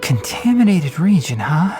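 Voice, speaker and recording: A man voicing a young forest ranger and healer in a game cutscene, calm, brisk and no-nonsense. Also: fairly high pitch. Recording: game cutscene with faint music.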